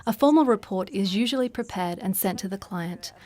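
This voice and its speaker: A woman narrates instructional material from a script. Her voice is medium in pitch, and her delivery is formal and measured. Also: lively intonation, warm tone, dry recording.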